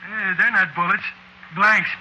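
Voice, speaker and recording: An easygoing, slangy sound-effects man in a vintage radio play. His high, very forceful voice has an exasperated, offhand way, with faint hiss from the old recording. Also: fairly even intonation, a little slow, heavily acted, poor audio. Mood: mildly exasperated. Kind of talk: 1940s radio drama acting.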